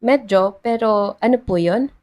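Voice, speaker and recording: A reserved woman treasurer from a love story, played by a woman narrator. She is formal and sincere, strict with a soft heart, and speaks at a middle pitch. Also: a little slow, close to an everyday voice. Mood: reserved politeness.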